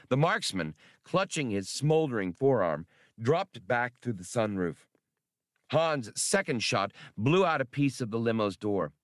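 A man's voice: medium pitch, a bit bright, dry recording, clean studio sound. A man reading an adventure novel as scripted, dramatic audiobook narration.

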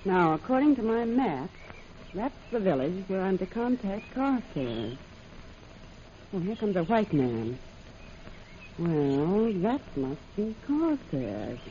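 A dark-voiced, scheming adventuress who speaks slowly and deliberately, as if plotting to herself. It is old-time radio acting on a dull recording. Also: female voice, medium pitch, big pitch swings, slight background noise. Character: scheming, self-possessed female adventuress plotting a deception.

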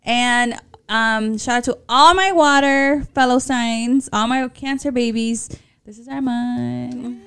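A woman's voice: a little high, slow pace, gravelly, fairly bright tone, smooth. Profane, laughing Mexican American woman host. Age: late 20s. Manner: casual, profane, laughing, switches into Spanish. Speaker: podcast co-host. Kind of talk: casual chat.

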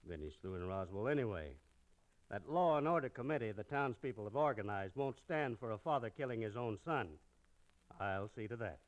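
An actor playing a calculating, authoritative villainous town leader in an old radio western. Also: male voice, lowish voice, expressive, brisk, lightly acted. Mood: cold, scheming.

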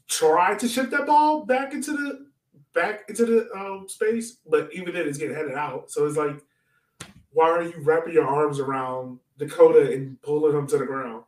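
A man co-hosting a casual soccer fan podcast, talking informally and breaking down a match. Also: on the high side. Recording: echoey room, far from mic.

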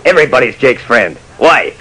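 Blunt street tough, bright, edgy voice. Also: male voice, mid-range voice, sing-song, normal speed, husky, full character voice. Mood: suspicious. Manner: blunt, slangy, suspicious. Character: gruff, loyal tenement neighbor, street tough. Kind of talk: old-time radio drama acting. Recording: noisy vintage radio recording.

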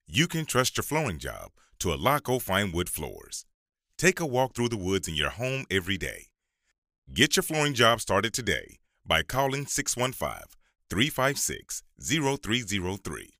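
A man's voice: fairly low pitch, bright, forceful tone, no room sound, clean studio sound. A man reading a scripted commercial as an advertisement announcer.

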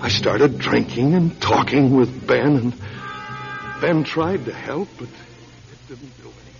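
A man playing a guilt-ridden, middle-aged American political candidate in a classic radio drama, speaking in a halting, confessional way. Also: mid-range voice, creaky, over music. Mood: confessional, haunted. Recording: dull lo-fi radio recording.

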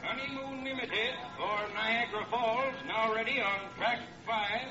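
An actor playing a brisk, unbending train gate attendant in an old radio comedy, curt and official. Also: male voice, very high voice. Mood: businesslike. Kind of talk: old-time radio acting, station announcing. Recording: muffled, echoey vintage radio, distant, music behind.

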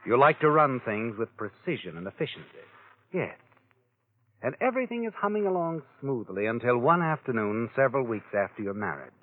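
Taunting American radio crime narrator, tinny. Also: male voice, mid-range voice, very animated, fairly quick. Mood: sly, knowing. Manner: knowing, taunting, speaks directly to the character. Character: sardonic all-knowing male narrator taunting the guilty man. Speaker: host and narrator. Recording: tinny old radio broadcast, music behind.

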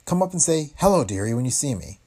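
A man's voice: medium pitch, sing-song, quick pace, dark tone, voice pushed up. A man voicing a brassy, streetwise woman tipster who talks in tough slang and calls everyone dearie. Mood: brisk. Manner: slangy tough-girl talk, keeps saying dearie.

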